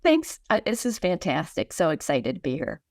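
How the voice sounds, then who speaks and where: A woman speaking as an interview guest, reflective and earnest, with deliberate phrasing. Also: low-pitched, huge pitch swings, gravelly, a little mellow, dry recording, studio quality. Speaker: guest author.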